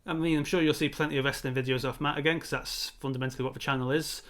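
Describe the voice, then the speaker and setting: A man chats casually as co-host of a film show. His talk wanders like an enthusiastic fan's, at a middling pitch and in an informal style. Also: fairly even intonation, rapid-fire, fairly bright tone.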